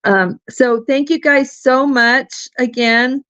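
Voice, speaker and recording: A woman's voice: high voice, takes it slowly, fairly bright tone, clean tone, no echo, lo-fi sound. A woman hosting a casual livestream, talking in a chatty way with lots of fillers and restarts, her voice starting to wear out. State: losing her voice. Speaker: livestream host.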